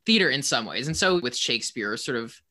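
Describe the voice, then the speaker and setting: A man's voice: medium pitch, expressive, brisk, bright, forceful tone. A man in a casual panel discussion, talking in a rambling, hedging, thoughtful way as a sound designer. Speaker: co-composer and sound designer of a theater show.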